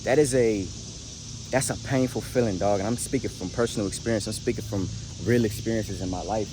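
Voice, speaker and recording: American guy late 20s, kinda deep voice, motivational vlog talk, slangy and emphatic, lots of background noise. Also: brisk. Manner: emphatic, repeats words and phrases, slangy. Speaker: motivational vlogger. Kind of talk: motivational talk, casual and direct to the audience. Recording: noisy recording with clear background noise.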